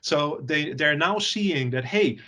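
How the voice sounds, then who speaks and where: Dutch-accented man, halting with fillers. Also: on the high side, lively intonation, sharp tone. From Netherlands. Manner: many fillers and repeated words. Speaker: software company executive. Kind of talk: interview answers.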